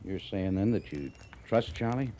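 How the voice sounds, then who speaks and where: An actor playing a brisk, skeptical cavalry major in a 1950s radio western, with an officious, dismissive manner. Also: male voice, on the low side, lively intonation, dark tone, natural voice. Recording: old radio recording with background noise.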